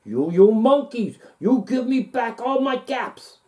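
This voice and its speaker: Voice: medium pitch, very animated, slow, a little mellow, pitched above the performer's own voice. A storyteller voicing an exasperated male cap peddler who scolds emphatically and stammers in a children's storybook. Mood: indignant.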